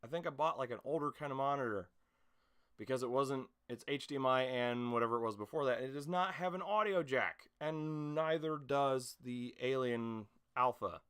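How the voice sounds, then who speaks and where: Bright-voiced, self-deprecating man chatting. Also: medium pitch, moderate pace, clean tone. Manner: self-deprecating, easygoing rambling. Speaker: amateur voice actor. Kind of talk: casual chat to camera.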